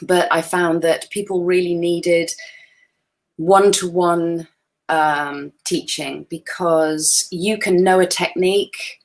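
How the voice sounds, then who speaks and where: A woman's voice: lowish voice, steady tone, slow pace. A woman in her forties, a guest photographer chatting casually on a video call in a friendly, self-deprecating way, sounding a little tired. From Wales. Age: 40s. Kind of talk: casual webinar chat.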